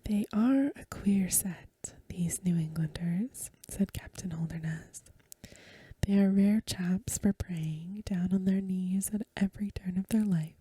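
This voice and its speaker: A woman softly whispering a story for ASMR in a breathy voice, with gentle, careful phrasing, close to the microphone. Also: mid-range voice, unhurried, dry recording. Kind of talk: soft-spoken ASMR story reading.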